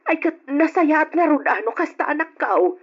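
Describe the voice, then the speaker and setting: A woman's voice: high-pitched, lively intonation, moderate pace. An actress playing a caring middle-aged mother in an old radio drama, speaking tenderly and reassuringly. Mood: fond. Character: caring mother of grown children. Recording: dull old radio recording.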